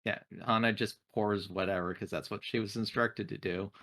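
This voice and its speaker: A man narrating and speaking for his character in a tabletop roleplaying game, in a measured way. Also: lowish voice, fairly even intonation, sharp tone.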